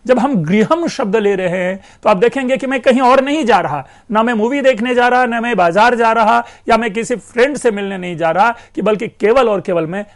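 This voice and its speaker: High-voiced man giving a language lecture. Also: normal speed, hardly any pauses. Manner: explanatory, addresses listeners as friends, stresses words for examples. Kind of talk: educational lecture.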